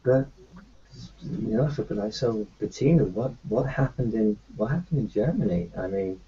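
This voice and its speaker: A man's voice: on the low side, expressive, normal speed, dark tone. A man playing his character in a tabletop roleplaying session, talking in a rambling, hesitant way with lots of restarts. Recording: muffled lo-fi with faint hiss.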